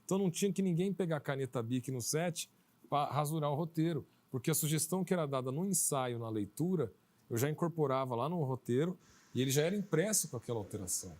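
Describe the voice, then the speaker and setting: A man's voice: a little high, expressive, fast talker, darkish tone. A Brazilian man, a film director, answering interview questions in a conversational way, telling things through little quoted asides. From Brazil, outside Maranhão.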